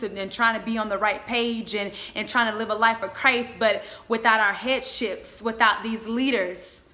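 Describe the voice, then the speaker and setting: A woman in her twenties giving a motivational faith talk, speaking in an emphatic, exhorting way with deliberate repetition. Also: fairly high pitch, sharp tone. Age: 20s.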